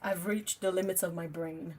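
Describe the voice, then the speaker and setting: A woman's voice: fairly low pitch, steady tone, normal speed, darkish tone, a little hiss or hum. A young woman with a French Canadian accent, a native French speaker, chatting casually with viewers on a livestream while she paints, reading the chat aloud and laughing often.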